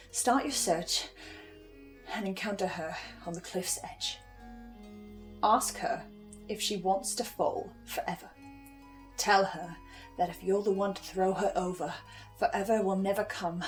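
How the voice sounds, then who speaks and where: A woman playing a composed, eerie researcher in a horror audio drama, speaking in a deliberate theatrical way. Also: medium pitch, close to an everyday voice. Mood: hushed, ominous. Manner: slow, deliberate, ominous, suave.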